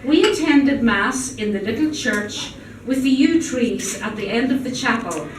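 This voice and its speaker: A woman reading a literary story aloud at a live event, in a measured, deliberate storytelling manner. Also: high-pitched, lively intonation. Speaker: reader at a public event. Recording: echoey room, distant mic, noisy background.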